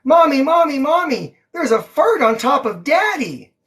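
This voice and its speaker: A woman's voice: sits high, very animated, measured pace, voice pushed up, heavily acted. A woman voicing an alarmed young girl in a storybook, urgent and exclaiming. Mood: alarmed, calling out.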